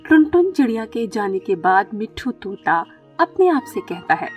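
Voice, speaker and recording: A woman's voice: medium pitch, sing-song, moderate pace, faint music behind. A woman reading scripted narration for a children's story, as the storyteller.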